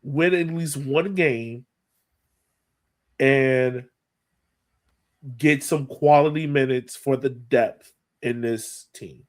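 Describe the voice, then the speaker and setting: A man's voice: mid-range voice, drawn-out words, long pauses. A man co-hosting a sports podcast, talking casually and thinking aloud as he gives his opinions on soccer. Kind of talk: casual soccer analysis chat.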